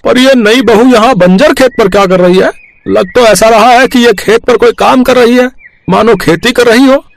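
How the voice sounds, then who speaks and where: A man's voice: very high voice, normal speed, vocal fry, dry recording. A man playing a curious, gossiping village farmer in a story, sounding nosy and puzzled. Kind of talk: acted Hindi moral story dialogue.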